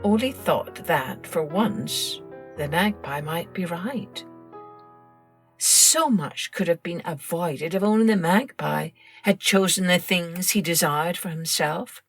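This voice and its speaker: Expressive woman narrating a children's story. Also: fairly low pitch, slow, husky, soft background music. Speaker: children's storytelling podcast host.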